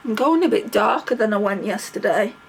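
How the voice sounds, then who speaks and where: A woman's voice: mid-range voice, lively intonation, fairly quick, slight background noise. A middle-aged woman vlogging casually while doing her makeup, chatty and rambling, with a stuffy nose from a cold. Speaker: beauty vlogger.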